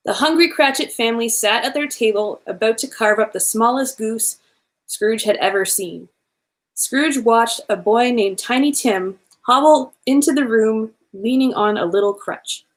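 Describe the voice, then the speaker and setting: A woman reading a children's Christmas storybook aloud in an expressive, animated way, with playful asides. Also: fairly high pitch, unhurried.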